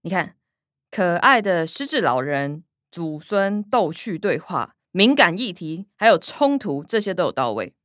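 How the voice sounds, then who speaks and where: A woman playing a cynical, calculating young granddaughter in a radio drama, sardonic and expressive, with a Taiwanese accent. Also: on the low side, a little slow, dry recording, studio quality. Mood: coolly calculating. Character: young adult granddaughter, cynical, calculating, sharp-tongued schemer.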